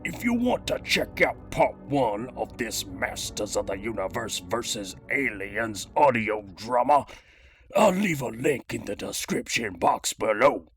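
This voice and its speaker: A man's voice: mid-range voice, sing-song, a little slow, faint music behind. A man voicing a cocky cybernetic gangster villain who hosts a toy review, talking in theatrical, slangy old-time mobster style. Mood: cocky, smug. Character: cybernetic master criminal gangster, cocky, streetwise action-figure villain. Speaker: host of a toy review show. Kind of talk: in-character scripted review monologue.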